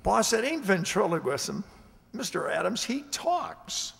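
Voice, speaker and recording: A man's voice: high-pitched, sing-song, measured pace, vocal fry, a bit bright, husky, full character voice. A man playing a meek, hesitant security guard in a radio drama, stammering and folksy as he speaks. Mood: nervous, deferential. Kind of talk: radio drama acting and narration. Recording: reverberant hall-like amateur recording.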